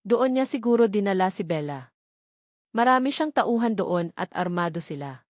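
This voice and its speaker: A woman's voice: mid-range voice, animated, normal speed, dry recording, clean studio sound, natural voice. A woman voicing a devoted, protective male lawyer and father in a romantic audio drama, speaking earnestly. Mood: determined.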